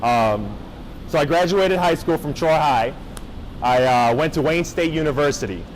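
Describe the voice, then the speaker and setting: A man's voice: fairly high pitch, expressive, normal speed, a little hiss or hum, poor audio. Casual young man speaking to students. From Japan. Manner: casual, engaging, checks in with the audience. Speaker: motivational speaker. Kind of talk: motivational talk to students. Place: high school gathering space.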